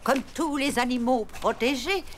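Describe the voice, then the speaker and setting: A woman voicing an earnest, enthusiastic primary schoolteacher in a French cartoon, didactic and expressive. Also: sits high, normal speed, slight background noise, lightly acted. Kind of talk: French animated cartoon acting. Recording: music behind the voice.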